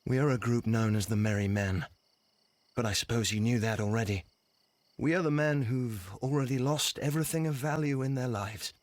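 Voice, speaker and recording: An actor as a defiant rebel leader in a video game, a man with a medium-pitched voice. He sounds embittered and speechifying, recorded dry and close. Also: a little mellow, no room sound, lightly acted. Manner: measured, oratorical, defiant.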